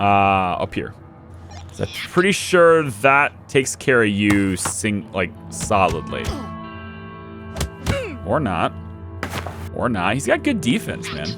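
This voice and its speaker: Young guy doing game commentary, lowish voice that jumps around a lot, kinda hoarse, faint music under it. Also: huge pitch swings, slow pace, long pauses. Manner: chatty, thinks aloud, talks to his units. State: losing his voice. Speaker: let's play host. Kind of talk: casual gameplay commentary.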